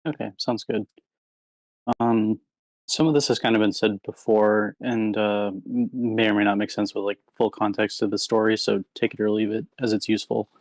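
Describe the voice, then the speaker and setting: A man with a fairly low voice talks through his feedback casually and tactfully. He hedges as he goes, speaking into an online meeting mic with little room sound. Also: fairly even intonation, moderate pace, a little mellow, dry recording, poor audio. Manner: hedging, diplomatic. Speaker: participant giving script feedback. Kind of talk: casual spoken critique. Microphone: online meeting microphone.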